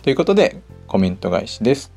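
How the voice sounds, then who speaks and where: Fast-talking man with a gravelly low voice. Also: big pitch swings, darkish tone. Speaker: host of a personal audio show. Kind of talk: casual solo talk to listeners. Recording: music playing behind the voice. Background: background music.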